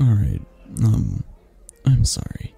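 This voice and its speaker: Man playing a dark, husky mafia boss. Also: huge pitch swings, soft background music, exaggerated voice. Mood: soothing, restrained. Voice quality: low, dark, husky, breathy. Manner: slow, halting, with sighs and pointed pauses. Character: possessive, menacing young mafia boss, softening into awkward tenderness. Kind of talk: romantic ASMR audio roleplay.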